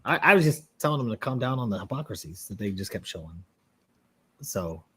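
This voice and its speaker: A man co-hosting a casual podcast, speaking bluntly and stumbling over his sentence starts. His pitch swings widely as he reacts. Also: big pitch swings, fairly quick, fairly bright tone, slight background noise. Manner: stammering restarts, blunt and swearing. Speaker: podcast co-host. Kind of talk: casual chat.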